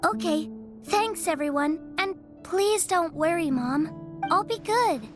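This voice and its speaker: A frail, bookish little girl in a video game, speaks very high and softly. The manner is polite and earnest, with music clearly behind. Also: animated, slow pace, full character voice. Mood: meek, reassuring. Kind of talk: video game character voice acting.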